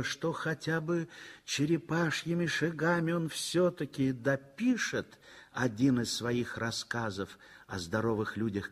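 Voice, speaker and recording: A man narrating a 1960s radio play in a measured, sorrowful way. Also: medium pitch, slightly rough. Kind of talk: scripted literary narration. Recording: vintage 1960s radio recording with faint music.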